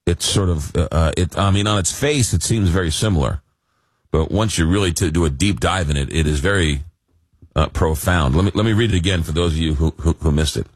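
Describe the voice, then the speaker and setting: A man's voice: low-pitched, a little fast, husky, dry recording. A man hosting a late-night call-in radio show while fighting a cold. He talks in a rambling, casual way with many fillers and dry jokes. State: fighting a cold, losing his voice.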